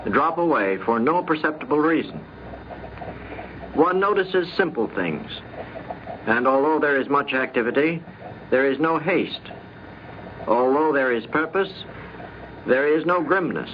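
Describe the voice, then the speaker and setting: A man reading a scripted, reflective account aloud in a formal, deliberate manner. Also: a little high. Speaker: diplomat giving a recorded account. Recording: dull, noisy vintage radio recording.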